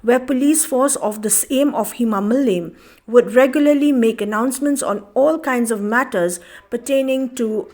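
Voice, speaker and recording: News voice-over, female, high voice, Northeast Indian accent, reading from a script. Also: normal speed, a little mellow. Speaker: news narrator.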